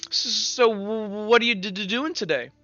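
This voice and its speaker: Man doing a shy stammering youth, bright voice, lo-fi mic. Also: sits high, normal speed, dry recording, soft background music, voice pushed up. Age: young adult. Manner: stammers on the first sounds of words. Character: timid stuttering young man. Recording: dull lo-fi mic.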